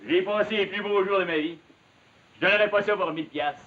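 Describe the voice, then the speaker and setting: A man playing a proud, generous woodsman with a Quebec French accent, brisk and emphatic, in 1950s television acting. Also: high voice, natural voice. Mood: proud, warm refusal. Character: proud, generous, hearty woodsman refusing payment. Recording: dull 1950s television soundtrack.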